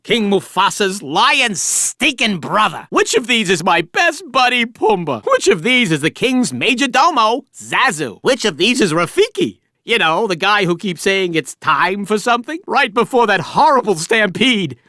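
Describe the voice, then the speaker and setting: A man's voice: high voice, sing-song, normal speed, hardly any pauses, bright, forceful tone, no echo, heavily acted. A cocky little meerkat hosts a video game quiz, cracking jokes and cackling at his own gags. His voice swoops and bounces all over the place. It is recorded dry and close in a studio. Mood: wisecracking. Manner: jokey, chatty, laughs at own jokes. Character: wisecracking male meerkat quiz host. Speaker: quiz host.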